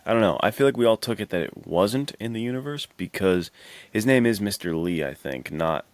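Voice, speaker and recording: A man's voice: lowish voice, expressive, a little fast, no echo. A man co-hosting a podcast, chatting casually about movies in a slangy, enthusiastic way. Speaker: podcast co-host.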